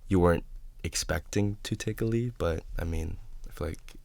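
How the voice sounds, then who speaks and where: A young man in his twenties, co-hosting a casual student chat, relaxed and hesitant with lots of fillers. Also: low-pitched, moderate pace, gravelly. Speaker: student co-host.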